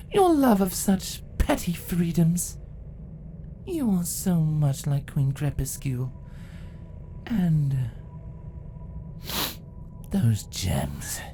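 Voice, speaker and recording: A woman voicing an ancient changeling empress from a fantasy story, sadistic and mocking, speaking slowly and deliberately. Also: low voice, dark tone, a little hiss or hum, heavily acted. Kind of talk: dramatic fan fiction voice acting.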